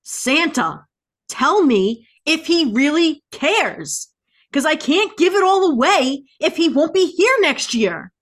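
A woman's voice: high-pitched, lively intonation, slow pace. A woman co-hosting a podcast, giving playful, theatrical readings in an exaggerated, melodramatic way. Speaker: podcast co-host. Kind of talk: playful, theatrical dramatic reading of song lyrics.

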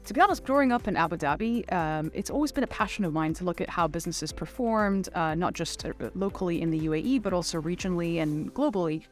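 Low-pitched middle-aged woman, interview guest. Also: lively intonation, fast, hardly any pauses, faint music behind. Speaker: interview guest, finance executive. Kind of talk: conversational interview answers.

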